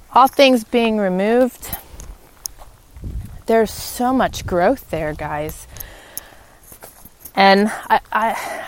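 A woman hosting a podcast in a reflective, unscripted monologue, pausing mid-sentence as she thinks, recorded outdoors on a walk. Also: fairly high pitch. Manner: halting, pauses mid-sentence while thinking. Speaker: podcast host.

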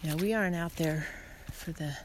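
A woman hosting a casual solo podcast, speaking earnestly and encouragingly in short phrases with frequent pauses. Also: sits low. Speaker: podcast host. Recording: clearly noisy background, some clicks.

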